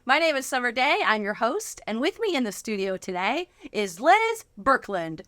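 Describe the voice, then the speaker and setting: An effusive woman host with a fairly high, lively voice. She chats with encouragement, and her tone is bright in a dry studio sound. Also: moderate pace, no room sound. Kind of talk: studio interview chat.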